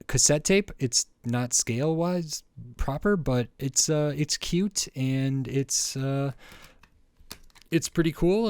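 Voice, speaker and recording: A middle-aged man giving a casual hands-on review, speaking in a halting, hesitant way with frequent pauses. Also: medium pitch, darkish tone, smooth, no room sound. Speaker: toy review channel host.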